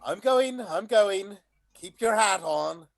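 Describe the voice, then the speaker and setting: A man's voice: high voice, lively intonation, slow pace, gravelly, full character voice. A man playing a bumbling, easygoing handyman in a read-through of a stage comedy. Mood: harried, unbothered. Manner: matey, casual. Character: bumbling, easygoing handyman in an amateur panto troupe. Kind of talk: play reading of a stage comedy.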